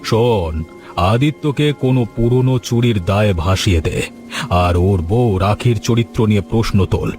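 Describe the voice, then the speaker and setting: A man's voice: medium pitch, normal speed, darkish tone, natural voice. A man voicing a ruthless, power-hungry village headman, a commanding and menacing cartoon villain. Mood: cunning, commanding. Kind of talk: Bengali cartoon villain acting. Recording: music behind the voice.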